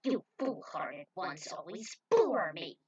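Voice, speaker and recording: A woman voicing a cruel female villain racer who taunts slowly and scornfully. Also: lowish voice, expressive, darkish tone, breathy edge, lo-fi sound, exaggerated voice. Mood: sneering. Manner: slow, sneering, taunting.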